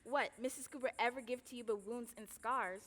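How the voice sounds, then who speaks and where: Woman acting a bright-voiced, defiant young daughter, echoing hall. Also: on the high side, steady tone, fairly quick. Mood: indignant. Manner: plaintive, dramatic storytelling and arguing. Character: defiant, anxious young enslaved daughter, dreamer. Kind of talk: stage play acting. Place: indoor theatre stage. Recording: echoing theatre hall, filmed live performance.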